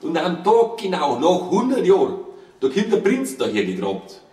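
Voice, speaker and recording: Bavarian man, lively author reading comic rhyming tales, playful and wry, roomy distant recording. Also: a little high, normal speed, a crowd in the background. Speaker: author reading from his own book. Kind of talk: comic verse storytelling in dialect, read aloud live. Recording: roomy live recording, distant mic, faint noise.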